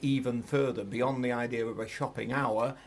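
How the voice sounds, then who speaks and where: A man's voice: mid-range voice, fairly even intonation, moderate pace. A man hosting a conversational radio interview, asking informal, probing questions. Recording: faint background noise.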